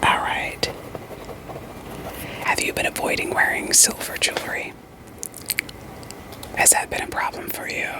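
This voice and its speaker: A woman whispering an ASMR roleplay as a therapist, in a soft, deadpan, gently questioning manner. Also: measured pace, pauses often.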